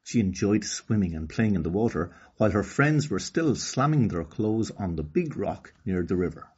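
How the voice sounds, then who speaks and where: An Irish man reading a children's story aloud in an expressive, deliberate storybook delivery. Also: lowish voice, slightly rough. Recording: slightly dull lo-fi recording.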